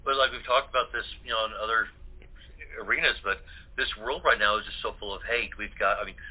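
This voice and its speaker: A man's voice: fairly low pitch, steady tone, fast. A man speaking as an interview guest, casual and earnest, rambling and often repeating words. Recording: tinny phone-like line.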